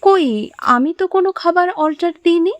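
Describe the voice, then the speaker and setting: High-voiced, friendly young woman. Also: animated, normal speed, no room sound. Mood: surprised. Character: kind, friendly young working woman, a customer. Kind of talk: audio horror story acting.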